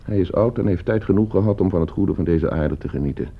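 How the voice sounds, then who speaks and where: Gravelly, suave, deep-voiced schemer. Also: male voice, fast talker, exaggerated voice. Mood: businesslike, menacing. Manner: suave, calculating, condescending. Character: coldly scheming, broke gentleman heir plotting his uncle's murder. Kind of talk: Dutch radio play acting. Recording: muffled old radio play recording.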